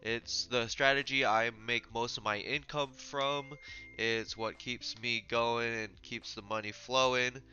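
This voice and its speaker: A man's voice: mid-range voice, fairly even intonation, measured pace, sharp tone. A man with a stuffy, slightly sick voice, casually walking through an options trading tutorial with lots of "uh" fillers, on a dull lo-fi mic. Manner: casual, filler-heavy explaining with frequent "uh". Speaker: trading channel host. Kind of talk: screen-share trading tutorial.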